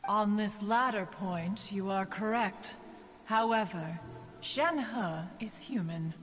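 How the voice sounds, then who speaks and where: Imperious immortal game spirit: formal archaic diction, long reverb, faint music underneath. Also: female voice, medium pitch, takes it slowly, fairly bright tone. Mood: haughty, questioning. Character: haughty, dignified immortal bird adeptus, female, older. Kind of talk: video game voice acting.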